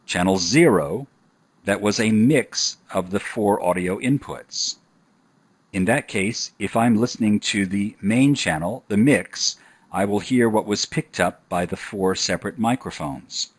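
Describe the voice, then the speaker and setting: A man narrating a scripted software tutorial in an instructional style. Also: fairly low pitch, no room sound.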